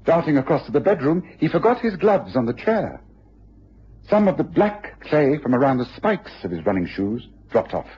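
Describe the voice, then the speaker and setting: Animated, commanding detective. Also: male voice, on the high side, a little hiss or hum. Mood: assured, deductive. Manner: deliberate, commanding, precise. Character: keen, composed male English detective, probing and authoritative. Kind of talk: radio drama acting. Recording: dull radio drama broadcast recording.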